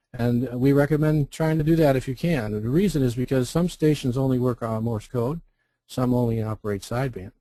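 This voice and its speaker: A man's voice: medium pitch, mellow, dry recording. An American man giving an informal instructional presentation, explaining things by posing questions and then answering them. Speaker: presenter, contest representative.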